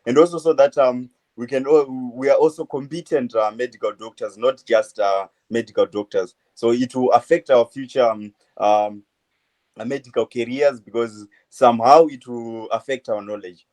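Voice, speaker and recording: A man in his twenties from Zimbabwe, a medical student answering interview questions in a halting way, full of uh fillers. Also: medium pitch, fairly even intonation, a little mellow. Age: 20s. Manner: hesitant, many uh fillers, halting phrases. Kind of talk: phone interview.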